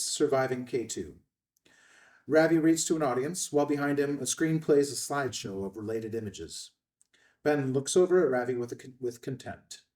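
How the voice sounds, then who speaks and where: A man's voice: mid-range voice, a little mellow. A man reading stage directions aloud as the narrator of a screenplay table read. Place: indoor room at a filmed table read. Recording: slightly distant room microphone.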